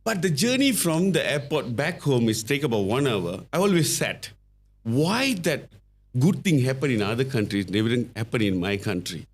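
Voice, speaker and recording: Halting Malaysian-accented man telling stories. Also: mid-range voice, big pitch swings. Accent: Malaysian English. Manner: halting, many fillers and restarts. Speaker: guest, company founder. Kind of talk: casual podcast storytelling.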